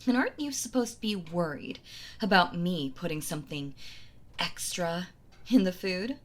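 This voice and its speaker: A woman playing a possessive, sweetly cruel yandere wife in an intimate roleplay audio drama, gloating and mock-tender as she toys with her captive. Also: on the low side. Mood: cold taunting. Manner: slow taunting delivery, breathy soft laughs, mock-tender.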